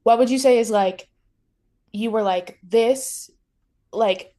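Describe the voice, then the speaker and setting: A woman's voice: fairly high pitch, takes it slowly, warm tone. A woman hosting a casual interview, talking in an enthusiastic, informal and gushing way. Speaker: interviewer, host.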